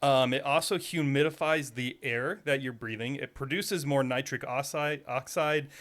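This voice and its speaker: A man's voice: mid-range voice, normal speed, a bit bright. A man hosting a podcast, chatting casually and curiously, at times reading facts aloud from a search. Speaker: podcast host. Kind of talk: casual chat. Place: room, with a dog nearby.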